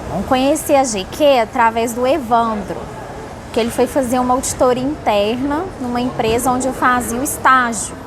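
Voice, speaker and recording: High-voiced woman talking over clear background noise. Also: moderate pace. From Salvador, Bahia, Brazil. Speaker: interviewee, company employee. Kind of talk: casual personal storytelling. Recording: noisy background with vehicle sounds.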